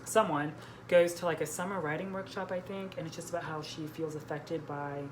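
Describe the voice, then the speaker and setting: A woman's voice: low voice, steady tone, fairly quick, noisy background. A woman hosting a book vlog in a casual, informal, somewhat hesitant conversational way.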